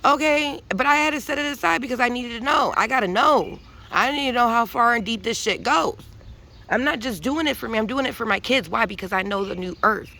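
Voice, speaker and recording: Gravelly woman, intense rambling monologue. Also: on the high side, a little fast, sharp tone. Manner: rambling, profane, with many restarts; intense and preachy. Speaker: vlogger. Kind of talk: emphatic spiritual monologue.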